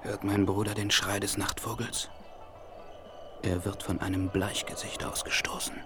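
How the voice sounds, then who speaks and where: A solemn, stoic western chief played by a man in a radio play. His low, very level voice is hushed and measured, under loud night ambience. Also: completely flat delivery, heavy background noise, natural voice. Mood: hushed watchfulness. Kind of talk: 1970s German audio drama acting. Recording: vinyl rip, loud outdoor ambience effects.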